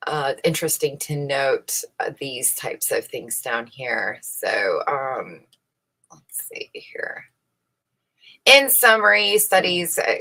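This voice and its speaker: A woman's voice: a bass voice, fairly bright tone. A woman giving casual commentary on research she reads out, opinionated and emphatic, often putting rhetorical questions to the listener. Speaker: host reading and commenting on research papers.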